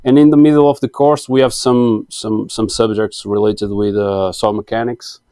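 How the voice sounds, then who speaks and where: A man's voice: lowish voice, fairly even intonation, moderate pace, warm tone, clean tone, no echo, studio quality. A man in his thirties from Portugal with a Portuguese accent, a guest engineer in a casual interview, hesitant with many fillers and restarts. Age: 30s.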